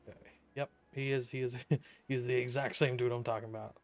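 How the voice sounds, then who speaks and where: A man's voice: mid-range voice, level tone, fairly quick. A young man giving casual, joking and offhand commentary while streaming a video game.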